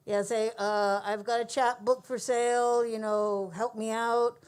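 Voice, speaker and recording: A woman's voice: on the high side, flat delivery, a little slow, a little mellow, clean tone. A woman hosting a coffee shop open mic, chatting loosely and joking with the room. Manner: joking, loose, laughs easily. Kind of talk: casual emcee banter.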